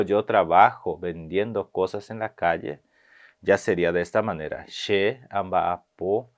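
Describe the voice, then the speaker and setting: A man, giving a video language lesson and slowly repeating phrases with hesitant fillers. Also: sits low. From Paraguay. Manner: slow, careful repetition of phrases, with hesitant fillers. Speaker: language teacher.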